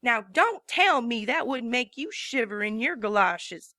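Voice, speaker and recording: Determined farm pony mare voiced by a woman, folksy talker, high and bright, dry. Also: expressive, unhurried, dry recording. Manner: folksy, explaining at length. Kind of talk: fan audiobook reading.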